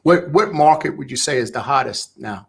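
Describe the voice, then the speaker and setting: A man's voice: mid-range voice, animated, moderate pace, creaky, breathy edge. A man hosting a podcast, asking casual follow-up questions in conversation. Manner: conversational, probing follow-ups. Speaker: podcast host. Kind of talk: casual interview chat.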